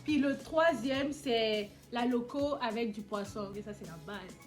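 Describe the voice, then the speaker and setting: A young woman with an Ivorian accent chats casually and animatedly, answering questions about her roots. Her voice sits fairly high. Faint music plays underneath. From Côte d'Ivoire. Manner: lively, animated, quick to tease. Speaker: vlogger.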